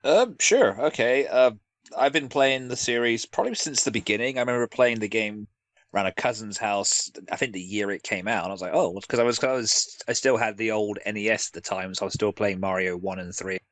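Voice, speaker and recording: A man's voice: fairly low pitch, fairly quick, fairly bright tone, dry recording. A British man chatting casually as a guest, rambling with joking asides. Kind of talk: casual discussion.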